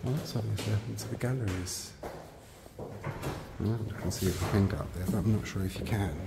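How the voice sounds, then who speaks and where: A man filming a casual walking tour of a church, chatting politely and thinking aloud, sometimes dropping to a hush. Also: lowish voice, a little fast, gravelly, very noisy. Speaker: vlogger giving a walking tour. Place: large church interior and crypt.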